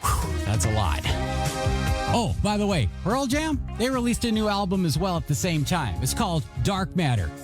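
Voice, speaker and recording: A man's voice: high-pitched, sing-song, normal speed. A man hosting a music radio show as DJ, with a showy announcer's delivery and upbeat patter. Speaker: radio DJ and station host. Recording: music bed behind the voice.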